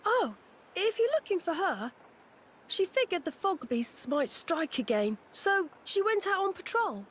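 A woman playing an earnest, gentle young boy researcher in a video game, polite and eager. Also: very high-pitched, very animated, measured pace, slight background noise, full character voice.

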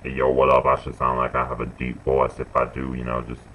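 A young man putting on a forced deep voice as a laid-back, swaggering cool guy. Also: monotone, brisk, a bit bright, slight background noise.

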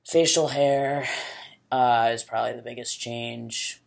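A young man talking casually to his laptop webcam in a small room, candid and rambling, with a rumbly voice. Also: fairly even intonation, unhurried, poor audio. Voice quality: rumbly, gravelly. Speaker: vlogger. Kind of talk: casual video diary. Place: small bedroom indoors.

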